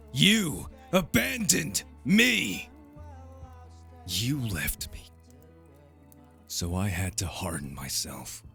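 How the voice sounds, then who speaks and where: A man's voice: sits low, sharp tone, heavily acted. A man playing a proud, wounded young vampire hardened into bitterness, with intense, accusing delivery in an audio drama. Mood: bitter, hurt. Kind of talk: dramatic audio roleplay acting.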